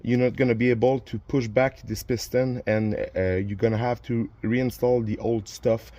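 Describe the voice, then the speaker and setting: A man's voice: medium pitch, fairly even intonation, moderate pace, mellow. Man with a French first language, explaining car repair. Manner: plain, instructive, with frequent restarts and filler. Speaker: DIY car repair channel host. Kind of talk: casual how-to narration while working.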